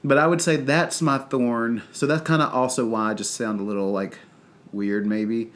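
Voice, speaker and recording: A man's voice: medium pitch, steady tone, moderate pace, a bit bright. A man with a Southern US accent, congested and stuffy-nosed, chatting casually as a podcast co-host in a rambling way. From North Carolina, US. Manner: rambling, chatty, lots of fillers. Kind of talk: casual chat.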